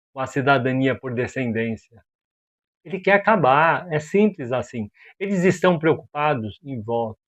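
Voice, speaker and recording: A man from Brazil giving casual opinion commentary as a video host, animated and exasperated, full of rhetorical questions and slang. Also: mid-range voice, lo-fi sound.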